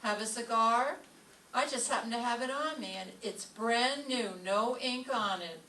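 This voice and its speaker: A sharp-tongued woman schoolteacher, acted by a woman tosses off dry, sarcastic lines in old-time radio comedy style. Her voice is bright and a bit high. It is picked up from afar in an echoey room. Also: a little slow. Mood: tart politeness. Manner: dry, sarcastic delivery of quips. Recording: distant roomy stage pickup, faint noise.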